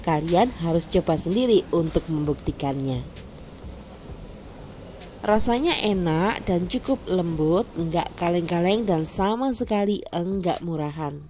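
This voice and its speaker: A woman's voice: lowish voice, animated, a little slow, mellow, slight background noise. A woman with an Indonesian accent giving an upbeat, scripted voice-over for a food vlog, reading out prices and menu items in lists. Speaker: food vlogger.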